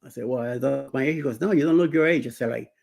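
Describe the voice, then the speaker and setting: A man in his sixties hosting a casual chat, telling stories with many pauses, fillers and restarts. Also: medium pitch, gravelly, darkish tone. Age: 60s. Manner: rambling, many fillers and restarts, laughs often. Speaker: show host. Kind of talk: casual live-stream chat.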